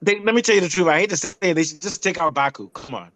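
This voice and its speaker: A man sounds off on a casual motorsport podcast. He is blunt and animated, often restarting his sentences mid-rant. His voice is dry and close, with no room sound. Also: sits high, quick pace, dry recording. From not European. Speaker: podcast co-host. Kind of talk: casual opinionated chat.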